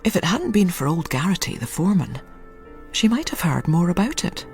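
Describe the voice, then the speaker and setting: A woman's voice: on the low side, big pitch swings, fairly quick, darkish tone, faint music behind. A woman reading a scripted literary story as a dramatic narrator.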